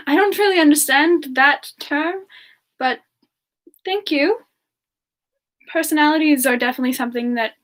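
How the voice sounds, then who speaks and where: A woman voicing a gentle, polite female demon in a soft-spoken, hesitant semi-ASMR chat with viewers. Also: sits high. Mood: warm, flattered. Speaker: streamer answering viewer questions in character.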